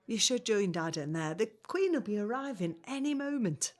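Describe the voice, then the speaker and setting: An actress playing a reassuring young working-class pregnant woman, gently coaxing, in a 1950s family audio drama. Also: medium pitch, lively intonation, moderate pace, natural voice.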